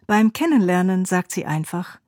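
A woman presenting a radio culture feature, reading a scripted narration in a calm, measured way. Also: medium pitch, no room sound, clean studio sound.

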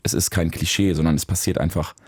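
A man, a musician, talking reflectively in an interview, searching for words with pauses and restarts. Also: deep voice, fairly even intonation, husky, dry recording, clean studio sound. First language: German. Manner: thoughtful, searching, with fillers and restarts. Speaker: musician guest.

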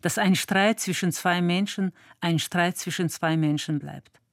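A woman giving measured, thoughtful answers as an interviewee. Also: on the low side, no echo, clean studio sound. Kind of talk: calm reflective answers.

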